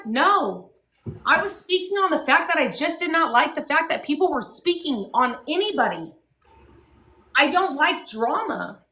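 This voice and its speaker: A young woman with a high, bright voice rants heatedly and swears freely. She hammers her points home on a livestream. The voice rings in an echoey room. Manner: profane, ranting, emphatic. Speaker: livestream host.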